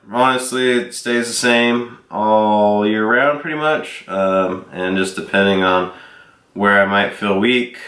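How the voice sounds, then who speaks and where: A young man with a cold, sounding congested and nasal, casually answering viewer questions about lifting with many ums and the odd cough. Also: lowish voice. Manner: relaxed, rambling with frequent ums and coughs. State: sick, congested and sore-throated. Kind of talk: casual Q and A vlog. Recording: echoey room.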